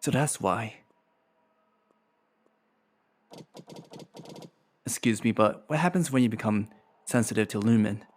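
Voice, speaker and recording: A man's voice: lowish voice, fairly quick, a little hiss or hum, close to an everyday voice. A man playing an earnest, self-doubting young heir in a drama, speaking in a subdued, sincere manner. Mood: apologetic. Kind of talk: dramatic game-script acting. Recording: music behind the voice.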